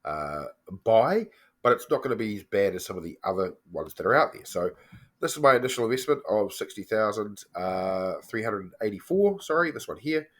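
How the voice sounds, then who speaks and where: Slightly croaky New Zealand man. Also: lowish voice, big pitch swings, normal speed, a bit bright. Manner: chatty, loose, frequent ums and self-corrections. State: has a cold, slightly croaky. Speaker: personal finance vlogger. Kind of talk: casual screen-share walkthrough.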